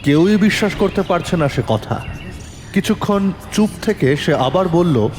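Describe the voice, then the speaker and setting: A man playing a composed, probing police inspector who narrates his case in a dramatic, deliberate audio-drama style. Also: sits high, animated. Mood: suspenseful, measured. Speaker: narrator and lead actor in an audio story. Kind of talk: dramatic first-person detective story narration. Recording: long reverb, music underneath.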